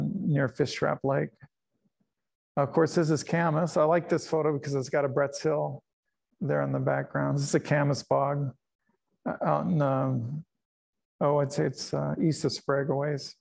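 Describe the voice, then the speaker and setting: A man's voice: fairly high pitch, vocal fry, darkish tone. A middle-aged man who grew up in Panama, giving a casual slide talk about his nature photos, rambling with fillers, restarts and chuckles.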